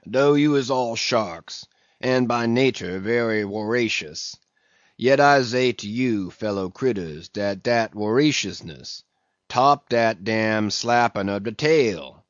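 A man voicing a sullen, limping old ship's cook who mumbles a slow sermon, on a dull lo-fi recording. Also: lowish voice, animated, no room sound. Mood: preaching.

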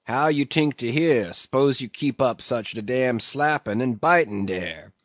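A man voicing a sullen, limping old ship's cook who mumbles a slow sermon, on a dull lo-fi recording. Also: mid-range voice, no room sound, natural voice. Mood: preaching.

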